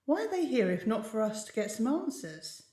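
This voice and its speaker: A woman's voice: on the high side, very animated, moderate pace, mellow, pitched above the performer's own voice. A woman voicing a proper, dry-witted female university teacher. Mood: pointed.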